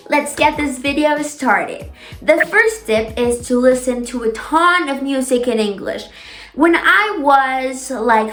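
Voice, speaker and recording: A young woman whose native language is Spanish, talking casually as a vlogger giving tips, stressing points with drawn-out words and repetition. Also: sits high, a bit bright. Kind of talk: casual talk to camera. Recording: echoey room.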